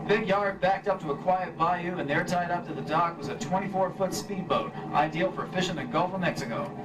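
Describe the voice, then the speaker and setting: An actor playing a weary, wisecracking insurance investigator in a 1950s-style radio play, recorded live on stage from a distance. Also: male voice, on the high side, heavy background noise, close to an everyday voice. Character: weary wisecracking freelance male insurance investigator, narrating his case. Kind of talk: 1950s radio detective acting and narration. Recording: live convention stage, picked up from afar.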